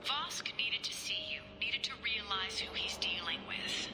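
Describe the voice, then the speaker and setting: A woman's voice: mid-range voice, lively intonation, unhurried, natural voice. A woman voicing a cool, menacing alien crime boss in a video game, scornful and sardonic. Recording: roomy echo, music under the dialogue.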